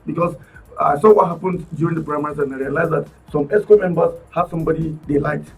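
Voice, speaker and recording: A man with a Nigerian accent, arguing politics on a radio talk panel in an animated, emphatic way, often restarting his words. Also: a little high, fast, vocal fry, distant mic, faint music behind, rough recording. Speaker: political commentator guest. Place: radio studio.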